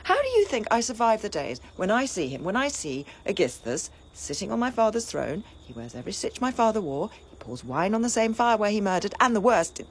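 An actress playing a grieving, vengeful young princess in a classical tragedy, giving an impassioned, bitter lament that sometimes drops to a whisper. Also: female voice, mid-range voice, big pitch swings, fairly quick, hardly any pauses, slightly rough. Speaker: stage actor. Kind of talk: classical tragedy stage acting.

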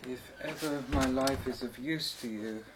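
A man's voice: medium pitch, measured pace, slight background noise, lightly acted, rough recording. An actor playing a weak-willed, infatuated middle-aged man in a stage drama. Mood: dreamy, hesitant.

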